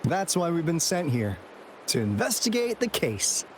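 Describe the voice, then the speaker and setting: A man's voice: on the high side, sing-song, moderate pace, slight background noise. A man voicing a playful, theatrical young investigator in a video game, teasing and telling stories dramatically. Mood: explanatory. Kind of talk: video game character dialogue.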